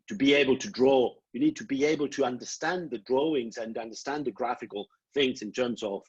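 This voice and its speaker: Halting Italian man on a video call. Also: medium pitch, slightly rough. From Italy. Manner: halting, repeats words, gestures while explaining. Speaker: programme director. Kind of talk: online Q&A answers. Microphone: laptop webcam video call. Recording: dull lo-fi video call audio.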